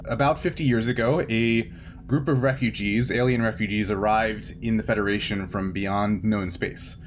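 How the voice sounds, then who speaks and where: A man working as game master, narrating an improvised tabletop story in a halting, conversational way. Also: lowish voice. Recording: clear steady background noise.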